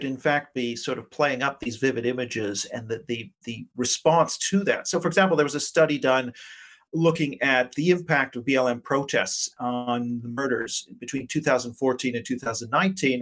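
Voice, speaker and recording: A man's voice: medium pitch, a bit bright. A man speaking as an academic interview guest, explaining things analytically with examples. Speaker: academic guest, politics professor.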